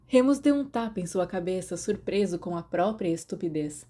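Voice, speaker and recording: A woman's voice: fairly low pitch, lively intonation, normal speed, dark tone. A woman with a Brazilian accent reading scripted story narration as an audiobook narrator.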